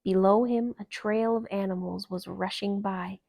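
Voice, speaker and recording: A woman reading a children's story aloud in a calm, expressive and gentle narration. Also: mid-range voice, normal speed, vocal fry. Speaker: storybook reader.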